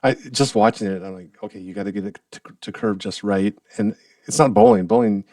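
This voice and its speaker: A man's voice: lowish voice, a little fast, a little mellow, no room sound, far from the mic. A man hosting a podcast, asking friendly casual questions with short backchannels. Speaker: podcast host. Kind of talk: casual interview. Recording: dry, a bit off-mic.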